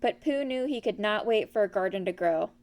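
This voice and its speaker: A woman's voice: mid-range voice, lively intonation, a little fast, a little mellow. A woman narrating a children's storybook from a script as the reader.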